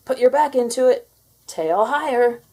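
A woman's voice: fairly high pitch, animated, a little slow, warm tone, breathy edge, pitched above the performer's own voice. A woman voicing a confident, bossy alpha cat who lectures kittens, in a theatrical storybook read-aloud style. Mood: instructive.

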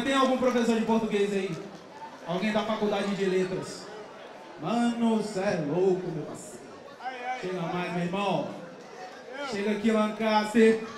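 A man hosting an outdoor rap battle, calling to the crowd in a loud, rowdy, slangy way and counting their votes. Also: sits high. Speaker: battle host. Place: outdoor stage under an overpass, big crowd. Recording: echoey open-air PA, distant mic, loud crowd.

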